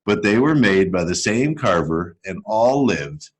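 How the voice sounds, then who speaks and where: A man reading a picture book aloud to schoolchildren as an educator, telling it expressively and deliberately. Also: lowish voice, sing-song, husky. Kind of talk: storybook read-aloud for children.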